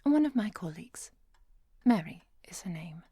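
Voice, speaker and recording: Woman acting a breathy, half-whispering protective vampire hunter. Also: fairly low pitch, very animated, dry recording, heavily acted. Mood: calm reassurance. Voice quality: breathy, soft, often whispered. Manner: gentle, measured, reassuring, formal phrasing. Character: kind, protective female vampire hunter, calm and formal. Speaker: ASMR roleplay performer. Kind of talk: soft, whispered ASMR roleplay. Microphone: close microphone, dry.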